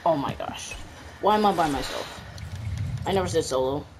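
A woman's voice: low voice, animated, normal speed, noisy background. A woman commentating a video game in a casual, rambling, self-mocking way, her voice worn as if she is losing it. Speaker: gameplay commentator. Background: game music and sounds.

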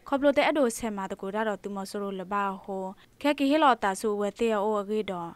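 A woman's voice: medium pitch, fairly quick, no room sound. A woman presenting the news, reading from a script as a radio newsreader.

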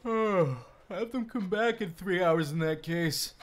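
A man's voice: sits high, unhurried, pitched above the performer's own voice. A man voicing a grumpy, sluggish, put-upon man who drawls and grumbles in an audiobook. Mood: sleepy, grumpy.

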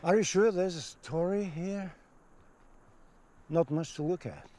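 A man's voice: sits high, unhurried, dark tone. An actor in his sixties playing a weary, sardonic news cameraman in a film drama, speaking outdoors. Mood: wry. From Hungary. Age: 60s. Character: weary, wry older male news cameraman. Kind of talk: film acting, dramatic dialogue. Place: outdoors in an open field. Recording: noisy open-air film dialogue.

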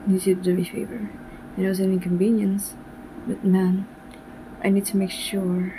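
A woman's voice: medium pitch, level tone, normal speed, noisy background, natural voice. A woman voicing an anxious, apologetic young man in an amateur comic dub, pleading hesitantly.

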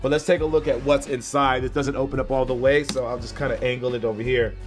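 A man's voice: medium pitch, little pitch movement, quick pace, faint music behind. An upbeat man who has a bit of a cold, chatting casually straight to his viewers as he unboxes sneakers. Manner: upbeat, rambling, talks to viewers directly.

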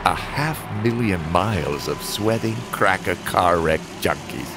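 An actor playing a bitter, self-loathing demolition derby driver, narrating a motion comic with brooding, theatrical, drawn-out phrasing. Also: male voice, low-pitched, expressive, background noise. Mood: intense, bitter. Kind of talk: dramatic first-person comic narration.

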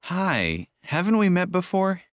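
Friendly man, played by an actor for language-course dialogue: lively intonation, dry studio sound. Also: high voice, unhurried, dry recording. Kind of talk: scripted textbook dialogue.

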